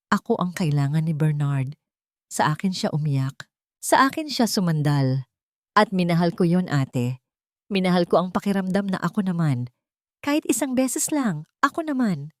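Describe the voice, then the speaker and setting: A woman's voice: low-pitched, animated, moderate pace, pauses often, no room sound, clean studio sound. Woman acting a desperate, pleading Filipino younger sister. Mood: tearful confession.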